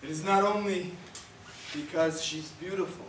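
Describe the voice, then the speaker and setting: A man's voice: on the high side, normal speed, lightly acted. A man acting an elderly, proud Puerto Rican storyteller on stage, speaking in a solemn, declamatory way. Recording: echoey stage, far microphone, faint noise.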